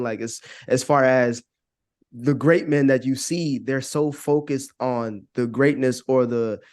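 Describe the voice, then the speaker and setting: Casual American male podcast voice, mid-pitched, loose and rambling, swears freely and circles back on phrases. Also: darkish tone. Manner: rambling, repeats phrases, frequent "you know what I'm saying", profane. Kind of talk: casual conversational podcast opinion.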